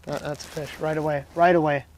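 A young man giving excited, casual running commentary while fishing from a dock on a lake. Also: a little high, brisk, slight background noise. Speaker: fishing vlogger. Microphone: action camera mic, sometimes head-mounted.

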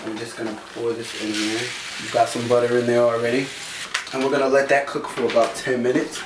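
A man's voice: medium pitch, flat delivery, fairly quick. A man in his thirties hosting a casual home cooking show, joking and swearing loosely as he cooks. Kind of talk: casual cooking demo with banter. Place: small home kitchen. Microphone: camera mic from across the room. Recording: echoey kitchen, distant mic, noisy.

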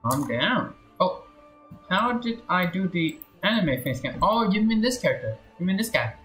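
A man's voice: high voice, very animated, moderate pace, a bit bright. A young man streaming a game with casual, laid-back and slightly hesitant chat. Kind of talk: casual livestream gameplay chat. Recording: roomy echo, game music behind. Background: game music.

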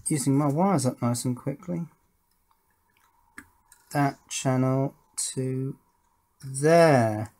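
A man's voice: mid-range voice, vocal fry, darkish tone. A man giving casual hands-on demonstration commentary, thinking aloud in a tentative, musing way. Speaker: host of an electronics build channel. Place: indoor workbench.

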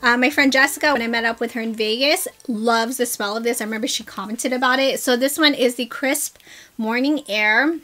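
A woman's voice: on the high side, fairly quick, pushed and bright. A young woman vlogger chatting casually about beauty products she bought, upbeat and gushing, recorded at her desk at home. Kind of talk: casual haul chat. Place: home filming room with vanity and desk.

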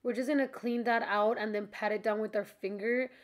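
A woman's voice: fairly high pitch, steady tone, fairly quick. A young woman giving a casual, chatty makeup tutorial voice-over in an informal, friendly-to-viewers way, sounding slightly stuffed up from allergies. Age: young adult.

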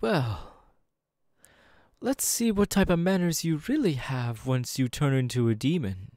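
A man's voice: medium pitch, animated, a little mellow, no room sound, natural voice. Man playing a seductive male demon, condescending, menacing, swooping intonation, close dry mic, ASMR roleplay. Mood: patronising menace. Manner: slow, teasing, drawn-out, patronising phrasing. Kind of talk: ASMR audio roleplay, second-person monologue. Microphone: close dry microphone.

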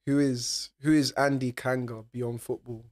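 A young man hosting a podcast, asking questions in a relaxed, friendly way. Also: medium pitch, a little slow. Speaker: podcast host. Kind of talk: casual podcast interview. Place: small studio with foam panels. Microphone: desk mic on arm.

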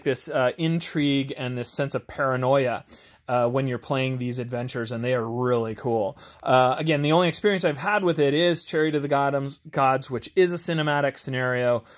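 A man giving a casual, off-the-cuff game review as an amateur host, rambling, enthusiastic and self-deprecating. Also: medium pitch. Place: home garage.

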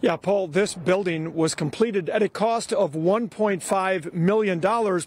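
A middle-aged man giving a steady, emphatic live news report outdoors at night with a handheld mic. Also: high voice, a little hiss or hum. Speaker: chief political reporter. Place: outdoors at night by a government building. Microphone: handheld station mic.

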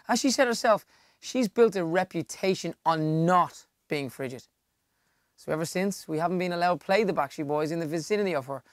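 A man's voice: on the high side, dry recording, clean studio sound. An Irish man in his thirties giving a dramatic reading from a book. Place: small padded studio room.

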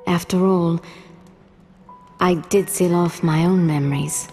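An actress playing a solemn, dignified, ageless woman in a game cutscene, speaking in a measured, formal, reflective way. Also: fairly low pitch, fairly even intonation, darkish tone, soft background music. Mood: calm, wondering. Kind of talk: video game cutscene acting.

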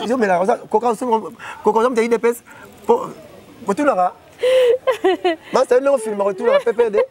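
A man's voice: extremely high pitch, huge pitch swings, creaky, a little mellow. A man in his thirties with a Congolese accent, an interview guest talking animatedly outdoors on a lapel mic. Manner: gestures constantly, rapid and emphatic. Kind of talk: animated chat mixing French and Lingala. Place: outdoors beside a parked car.

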